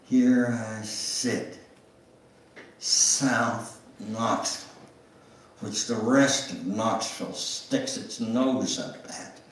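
An older man gives a deliberate dramatic reading of a memoir, with pauses. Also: on the low side, a little hiss or hum. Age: older, 70s or above. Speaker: guest speaker reading his memoir. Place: church sanctuary, standing at music stand. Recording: echoey church room, distant microphone.

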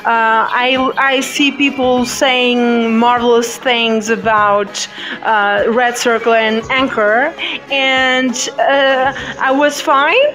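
A woman's voice: a little high, steady tone, slow, fairly bright tone, faint music behind, poor audio. A woman with a Portuguese accent, Portuguese as her first language, hosting a live podcast chat with many hesitant fillers. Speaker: podcast host.

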